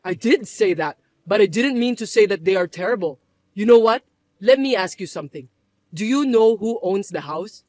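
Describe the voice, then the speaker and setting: A woman voicing a calm adult son and husband who explains things to his mother in a firm, patient way. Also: medium pitch, moderate pace, no room sound, close to an everyday voice. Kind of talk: dramatized story voice acting.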